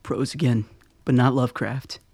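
A man playing a shrewd leader in a radio drama, with a brisk, matter-of-fact manner. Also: medium pitch, fairly even intonation, darkish tone, breathy edge, no room sound. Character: shrewd male leader analysing intelligence.

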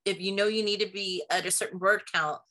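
A woman's voice: mid-range voice, fairly even intonation, quick pace, bright, forceful tone, low-quality recording. A woman co-hosting a podcast on an online call, chatting casually and repeating words as she thinks aloud. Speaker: podcast co-host.

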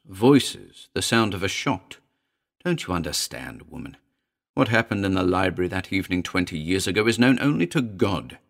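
A man's voice: fairly low pitch, creaky, heavily acted. A man voicing an agitated, commanding male character in a dramatic audiobook, fervent and emphatic. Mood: urgent. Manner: fervent, emphatic, urgent pleading.

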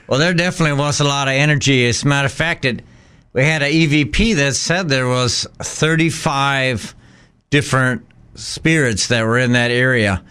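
A man's voice: mid-range voice, normal speed, sharp tone, clear, resonant tone. A man speaking as an investigator guest, giving conversational answers in an interview.

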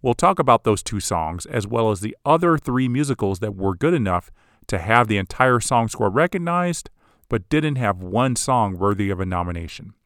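A man hosting a podcast, giving scripted, measured commentary on film history. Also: fairly low pitch, lively intonation, fairly bright tone, no room sound, studio quality. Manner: measured, analytical. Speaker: podcast host.

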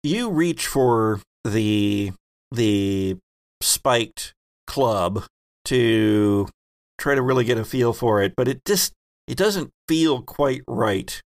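Gravelly guy gm, dry close sound, joking around with players. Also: mid-range voice, takes it slowly, dry recording. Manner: relaxed, joking questions to players. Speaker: game master. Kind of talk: running a tabletop game.

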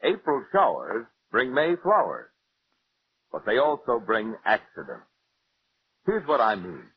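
Animated male vintage radio announcer. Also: mid-range voice, measured pace. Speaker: sponsor announcer. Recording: tinny, hissy old radio recording.

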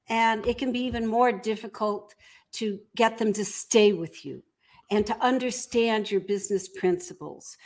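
Businesslike lady being interviewed, voice a bit high, explains things in measured way. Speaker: guest, entrepreneur.

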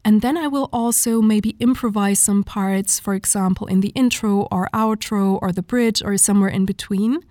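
A woman whose first language is German, with a breathy, mellow voice, talking in a relaxed interview with many fillers and restarts. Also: mid-range voice, normal speed, hardly any pauses, no echo, clean studio sound. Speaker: guest vocalist. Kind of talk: casual interview talk.

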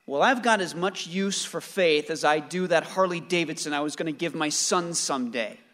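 An actor playing a bitter, guarded, reclusive grown son in a radio play. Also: male voice, fairly high pitch, moderate pace, a bit bright, lightly acted. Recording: very echoey reverberant room or hall.

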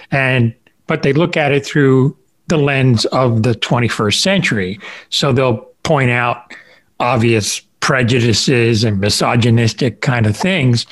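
A man hosting a podcast interview in a casual, rambling way, often halting as he thinks aloud. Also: medium pitch. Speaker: podcast host interviewing a guest.

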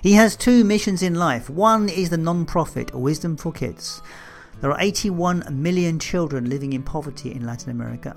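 Gravelly man reading a podcast intro. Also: a little high. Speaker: podcast host. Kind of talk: scripted intro then interview.

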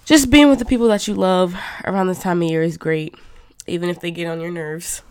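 Young woman host, low-pitched, nasal and congested from a cold, casual rambling chat, dry close sound. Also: steady tone, brisk, a little mellow, clean tone, dry recording. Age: young adult. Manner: rambling, chatty, lots of fillers. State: stuffed up from a cold. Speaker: podcast host. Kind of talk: casual monologue.

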